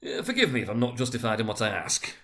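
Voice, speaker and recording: A man's voice: mid-range voice, expressive, fast, pushed and bright. A man voicing an uneasy, awed old miser who speaks hesitantly and deferentially in a dramatic storybook reading. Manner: hesitant, stammering.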